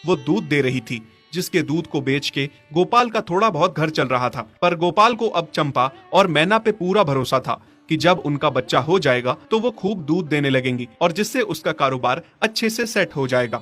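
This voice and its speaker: A man's voice: fairly high pitch, expressive, normal speed, few pauses, fairly bright tone. A man narrating a scripted story as its storyteller. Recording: music behind the voice.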